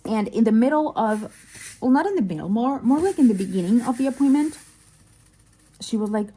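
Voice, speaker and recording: A woman with a Mexican accent, a native Spanish speaker, telling an anecdote in a casual, chatty way, animated and often interrupting herself with asides. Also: fairly high pitch, fairly quick, husky, poor audio. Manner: animated, digressive, self-interrupting. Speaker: vlogger and crafter, telling work anecdotes.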